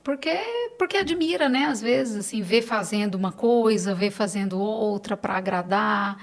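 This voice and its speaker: A woman's voice: medium pitch, normal speed. A middle-aged Brazilian woman speaking Portuguese as a podcast guest, in casual, earnest personal conversation, repeating phrases for emphasis.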